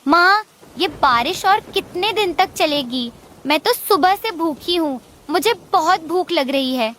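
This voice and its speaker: A woman's voice: extremely high pitch, lively intonation, unhurried, a bit bright, heavily acted. A woman voicing a childlike, pleading little daughter bird in a cartoon. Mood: hungry, pleading. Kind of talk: Hindi cartoon voice acting.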